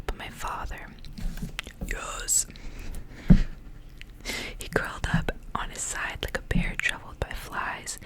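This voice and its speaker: Softly whispering woman, ASMR style. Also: rough recording. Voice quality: breathy unvoiced whisper. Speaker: ASMR creator reading stories aloud. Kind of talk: whispered ASMR storybook reading with casual asides.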